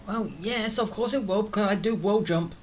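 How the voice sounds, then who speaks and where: A woman's voice: medium pitch, normal speed, rough recording. A woman playing a video game and commenting casually, thinking aloud in a chatty way. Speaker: video game let's player. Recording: faint game music behind. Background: faint game music.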